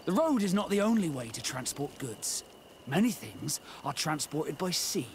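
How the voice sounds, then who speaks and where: A man playing a grave, unyielding rebel in a video game, speaking bluntly and deliberately. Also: a little high, sing-song, slight background noise. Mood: firm, cool. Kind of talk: scripted video game cutscene dialogue.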